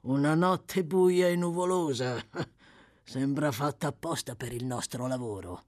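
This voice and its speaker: A woman's voice: very deep voice, unhurried, darkish tone. A woman voicing a brutal, short-tempered male thief in an audiobook, with a brusque, bullying, sneering manner. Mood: gruff, sardonic.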